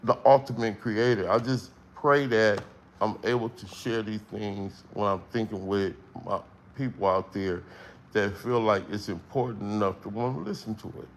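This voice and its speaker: A middle-aged man with a strong, carrying voice, speaking slowly and emphatically in a casual livestream monologue with long pauses. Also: fairly low pitch, slight background noise, poor audio. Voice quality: voice that carries, strong tone. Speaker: livestream host.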